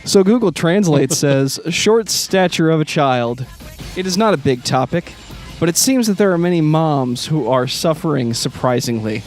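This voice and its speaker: A man's voice: on the high side, animated, moderate pace, a little mellow. Wry man chatting, faint music behind. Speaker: podcast co-host. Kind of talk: casual music discussion.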